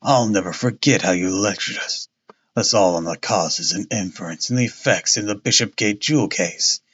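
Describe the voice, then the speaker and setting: A man's voice: lowish voice, moderate pace, fairly bright tone, pitched below the performer's own voice. A man putting on a wheezy, blustering stout inspector. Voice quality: wheezing, muffled husky tone. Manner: blustering, self-important, repeats himself. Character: stout red-faced pompous police inspector, middle-aged man.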